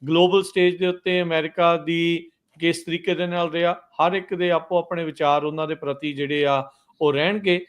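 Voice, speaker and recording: Male radio news host, slightly high pitch, conversational commentary, halting delivery, English words mixed in. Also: little pitch movement.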